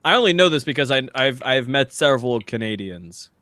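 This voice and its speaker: A man with an American accent talking casually as a podcast co-host, halting and a little pedantic. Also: medium pitch, animated, sharp tone, no echo. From United States. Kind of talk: casual chat, reading text aloud.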